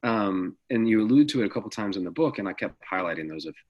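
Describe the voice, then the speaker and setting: Raspy low-voiced male podcast host. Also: fast talker, lo-fi sound. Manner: joking, relaxed questioning. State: hoarse after the flu. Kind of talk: casual interview chat.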